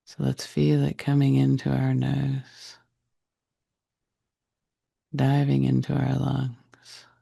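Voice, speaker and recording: A man leading a guided meditation in a soft, breathy, husky voice, speaking deliberately in short phrases with long pauses. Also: mid-range voice, monotone. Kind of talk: guided meditation, slow soothing narration.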